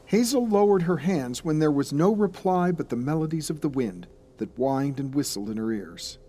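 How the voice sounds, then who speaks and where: An actor playing a grave storyteller, narrating a friend's dark case in a scripted audio drama. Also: male voice, fairly high pitch, expressive, normal speed. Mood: grave, ominous.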